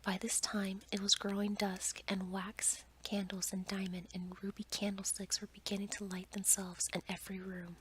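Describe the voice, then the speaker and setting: A woman storyteller reading a fairy tale as ASMR in a soft, breathy whisper. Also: medium pitch, little pitch movement, moderate pace, few pauses.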